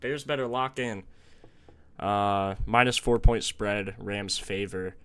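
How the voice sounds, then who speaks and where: Young man, low voice, a bit raspy, casual stream host, chatty and thinking aloud, desk mic, keyboard clicks behind. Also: slow, fairly bright tone. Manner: chatty, thinks aloud, greets chat viewers. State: slightly raspy from a sore throat. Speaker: sports betting stream host. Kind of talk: casual live-stream chat.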